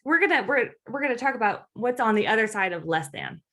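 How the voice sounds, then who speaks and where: A woman with a bright, fairly high voice hosts a casual faith podcast. Her delivery swings widely in pitch as she tells stories in loose, stop-and-start phrases, acting out reactions with exclamations. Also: fast talker. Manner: animated, exclamatory, acts out quoted reactions. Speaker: podcast host.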